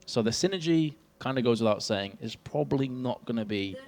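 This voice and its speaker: A man's voice: mid-range voice, lively intonation, a little fast. A young man with an English accent, casting an esports match and joking about himself with his co-caster. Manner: self-mocking, jokey, laughs often. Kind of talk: casual caster banter. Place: event stage in a venue.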